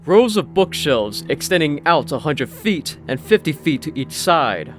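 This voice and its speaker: A man's voice: fairly high pitch, very animated, a little slow. A man narrating a scripted fantasy story in the manner of an audiobook. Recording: music behind the voice. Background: background music.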